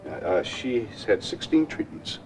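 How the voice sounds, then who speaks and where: A man's voice: lowish voice, very animated, unhurried, creaky, over music, heavily acted, poor audio. A man playing a courteous doctor, polite and formal, in a scripted medical dramatization. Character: courteous male doctor introducing his patients.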